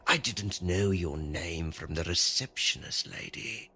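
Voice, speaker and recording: A man's voice: low-pitched, unhurried, pushed and bright, husky, exaggerated voice. An actor playing a menacing, controlling male stalker in an audio thriller, with a threatening, taunting manner. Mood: menacing, gloating. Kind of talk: audio thriller drama acting. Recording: music under the voice.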